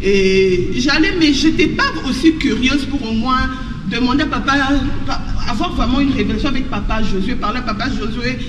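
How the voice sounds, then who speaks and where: A woman in her forties from Congo giving a spontaneous testimony on a church stage into a handheld mic, halting with many restarts and repeated words. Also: fairly high pitch, background noise. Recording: echoey hall, heard from far, dull.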